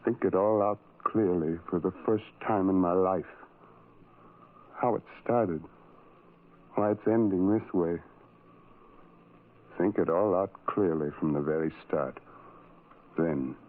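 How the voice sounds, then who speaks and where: A man's voice: sits low, takes it slowly, long pauses. Over a tinny, narrow old radio recording comes a tormented, lonely soul. He broods in incantatory, repeated phrases, full of self-loathing, in the manner of a radio horror play. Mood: desperate, then bitter recollection. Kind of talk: 1970s radio drama monologue.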